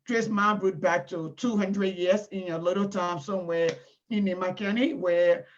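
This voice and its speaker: Female host, Liberian accent, halting conversational delivery, muffled lo-fi stream. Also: lowish voice, steady tone, slight background noise. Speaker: talk show host. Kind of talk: informal live online talk. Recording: dull, lo-fi internet stream audio.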